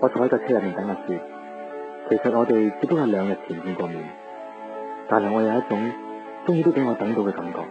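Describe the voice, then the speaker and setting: An actor playing a devoted, teasing young boyfriend in a Cantonese radio drama. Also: male voice, lowish voice, lively intonation, fast, long pauses, natural voice. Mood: earnest, reflective. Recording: dull radio broadcast with music.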